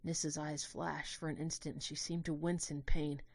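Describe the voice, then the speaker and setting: Woman w a really low voice reading an audio drama story, measured n expressive, super dry close sound. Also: husky, no room sound. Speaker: narrator. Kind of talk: dramatic scripted first-person story narration.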